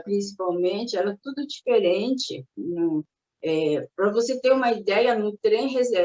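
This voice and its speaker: An older woman born in Japan, speaking as an interviewee and recalling her family's past in a reflective, hesitant way with many restarts. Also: a little high. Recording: roomy, dull recording.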